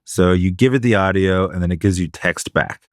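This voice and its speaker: A man with a fairly low voice co-hosts a podcast and talks things through in a measured, explanatory way. The sound is dry and close to the mic. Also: no room sound. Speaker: podcast co-host. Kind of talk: casual podcast chat.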